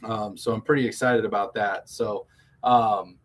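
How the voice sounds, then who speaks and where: A man's voice: on the low side, steady tone, unhurried. A man co-hosting a casual live show, upbeat and chatty, reading viewer comments aloud. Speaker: co-host. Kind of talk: casual livestream chat.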